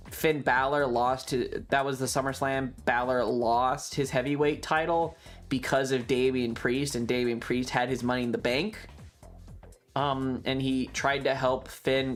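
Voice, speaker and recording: A livestream host chatting casually and emphatically, rambling and swearing freely. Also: mid-range voice. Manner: swearing, emphatic, animated ranting.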